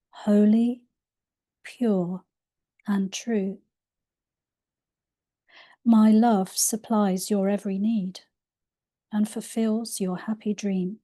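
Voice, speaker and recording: A woman reading aloud from her own spiritual book in a soft, unhurried way, her voice a little croaky. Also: medium pitch. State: slightly croaky voice. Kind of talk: slow gentle book reading.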